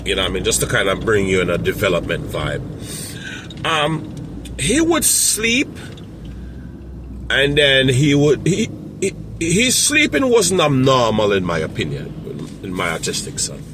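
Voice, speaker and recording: A man talking casually to camera as a parent coach, rambling with many fillers and restarts, with a strong, loud voice. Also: mid-range voice, huge pitch swings, a little slow, noisy background.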